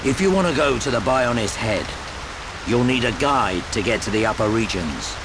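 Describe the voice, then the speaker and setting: An actor playing a gruff, worldly older adventurer and guide in a video game cutscene, with a knowing, grumbling manner. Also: male voice, medium pitch, expressive, moderate pace, noisy background, natural voice. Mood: knowing, instructive.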